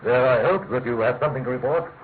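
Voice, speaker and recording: A man's voice: mid-range voice, quick pace, natural voice. A man playing a grave, emphatic English elder statesman in a radio drama, formal and insistent. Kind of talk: classic radio drama acting. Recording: thin phone-like radio sound, clear noise.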